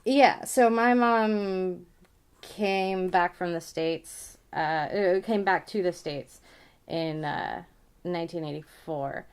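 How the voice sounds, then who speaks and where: A woman's voice: lowish voice, slow pace, smooth. A woman in her thirties hosting a casual, personal podcast conversation, speaking in hesitant, stop-start phrases. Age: 30s. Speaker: podcast host.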